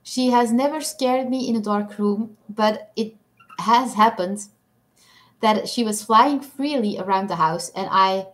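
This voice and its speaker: A woman's voice: mid-range voice, measured pace, fairly bright tone, low-quality recording. A young woman from Belgium whose first language is Dutch, speaking English with a Belgian Dutch accent as she chats casually on a live stream, reading comments, laughing often and poking fun at herself. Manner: chatty, giggly, self-deprecating.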